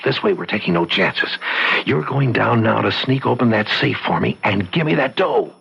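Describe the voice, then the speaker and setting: An actor playing a cocky, conniving young drifter who sweet-talks and sneers in slang, in old-time radio drama style. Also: male voice, mid-range voice, animated, moderate pace, creaky, fairly bright tone, breathy edge, highs cut off, exaggerated voice. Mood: coaxing, scheming. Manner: slangy, insolent, sweet-talking. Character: cocky young drifter, smooth-talking conniving male lover.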